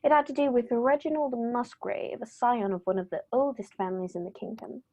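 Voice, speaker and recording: Woman as brisk male detective, fairly high voice, theatrical, self-assured, inquisitive, vintage radio drama style. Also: dark tone, lo-fi sound. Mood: brisk storytelling. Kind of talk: 1930s-style radio play acting.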